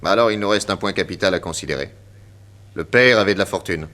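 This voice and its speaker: An actor playing a confident, witty detective inspector with a French accent, emphatic and theatrical in 1960s radio drama style. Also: male voice, sits low, quick pace, pushed and bright. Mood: pondering. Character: confident, witty male detective inspector explaining his deductions.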